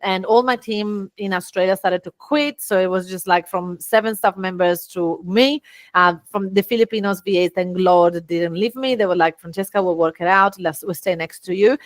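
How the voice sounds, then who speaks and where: An animated middle-aged woman with an Italian accent, swinging her pitch up high as she acts out what people said to her. Her voice sits mid-range, and the recording is close and dry with almost no room sound. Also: normal speed, no echo. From Italy. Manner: lively, acts out quoted dialogue with big pitch jumps. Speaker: guest, VA agency founder. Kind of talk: animated storytelling in conversation.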